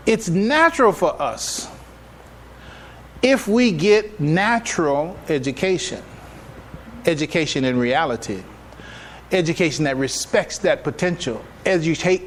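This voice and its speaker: High-pitched man lecturing as an imam. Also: slight background noise. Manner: animated, emphatic, rhetorical questions and repetitions. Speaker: imam giving a public address. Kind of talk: religious lecture, teaching to an audience.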